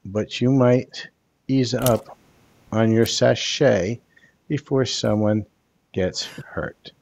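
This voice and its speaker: An older man hosting a live card reading over a headset, speaking in a calm, soothing, unhurried way. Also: mid-range voice, creaky, a little hiss or hum. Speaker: tarot card reader.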